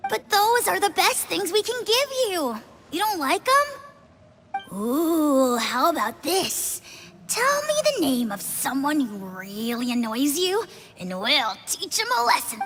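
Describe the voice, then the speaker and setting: A woman voicing an excitable, mischievous young child in a video game, eager to please. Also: sits high, slow, slight background noise, full character voice. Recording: game music behind.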